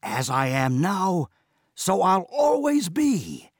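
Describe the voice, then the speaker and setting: A man's voice: low voice, wildly swooping pitch, measured pace, breathy edge, no room sound, studio quality, pitched below the performer's own voice, heavily acted. Man doing a boastful foolish peasant. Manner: boastful, blustering.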